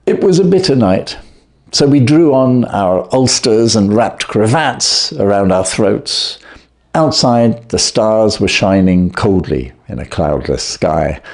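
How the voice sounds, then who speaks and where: A man reading a classic story aloud as scripted narration, measured and expressive. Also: on the low side. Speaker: audiobook reader.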